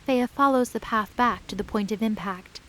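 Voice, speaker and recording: A woman narrating an audio drama in a measured storytelling voice. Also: mid-range voice. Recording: narration over loud music.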